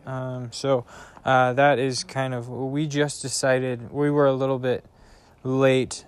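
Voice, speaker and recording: Youth pastor, male, slow and thoughtful, smooth clear voice, hesitant. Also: mid-range voice, flat delivery. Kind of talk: casual conversation. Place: outdoors in a backyard by a bonfire.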